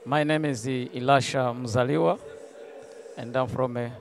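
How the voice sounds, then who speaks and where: A man with a Congolese accent gives his testimony at a slow pace. His pitch is average and his phrasing is halting and broken up. He speaks as a congregant to camera, close on a handheld mic. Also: fairly even intonation, over loud music. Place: outdoor arena stands. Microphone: handheld interview microphone held close.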